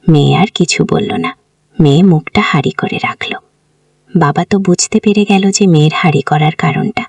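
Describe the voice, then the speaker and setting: A woman's voice: deep voice, moderate pace, darkish tone, breathy edge, dry recording. A woman tells a family story in an informal, colloquial way, as a storyteller who voices the dialogue herself.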